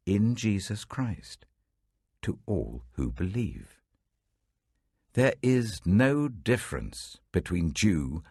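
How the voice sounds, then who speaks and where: A man giving a measured, dramatic reading of Bible passages in a close studio recording. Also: vocal fry, slightly rough, no echo. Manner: measured, dramatic, lively pitch shifts. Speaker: Bible reader. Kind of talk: expressive scripture reading.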